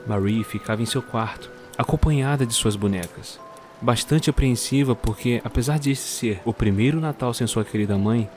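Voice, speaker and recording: A man narrating a scripted storybook tale for an audio drama in a measured storyteller's manner. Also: lowish voice.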